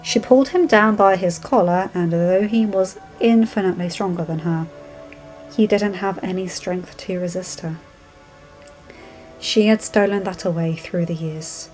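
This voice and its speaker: A woman narrating a fan fiction story aloud in a steady, even storytelling manner. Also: on the low side, poor audio. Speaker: audiobook reader.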